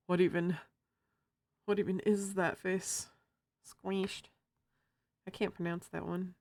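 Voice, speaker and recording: A feminine-voiced streamer chatting casually while drawing, giggling often and cracking silly jokes. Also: female voice, mid-range voice, a little mellow, dry recording, studio quality. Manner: giggly, jokey, laughs often.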